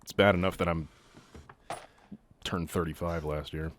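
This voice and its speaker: Low-voiced man in his thirties, rambling. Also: steady tone, moderate pace, a little hiss or hum. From California. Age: 30s. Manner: loose and rambling, lots of "like", casual swearing. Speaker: co-host playing a video game. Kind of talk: casual chat while gaming.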